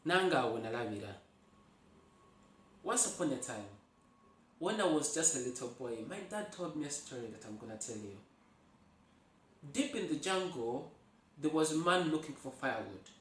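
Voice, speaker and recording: A man from Uganda with a Ugandan accent and Luganda as his native language tells folktales in an animated way, speaking directly to his listeners. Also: a little high, normal speed, long pauses, slight background noise. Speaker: storyteller, host. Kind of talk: oral folktale storytelling. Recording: echoey room, far from mic.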